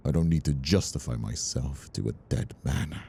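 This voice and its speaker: A man's voice: extremely low pitch, moderate pace, vocal fry, warm tone, breathy edge, full character voice. A man playing a bitter, mocking mob boss in an audio roleplay drama, taunting an old rival with contempt. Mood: cold menace.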